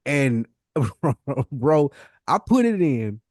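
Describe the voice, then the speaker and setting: A man's voice: medium pitch, big pitch swings, extremely slow, darkish tone, dry recording, clean studio sound. Emphatic man in casual slangy hip-hop talk. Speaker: podcast co-host.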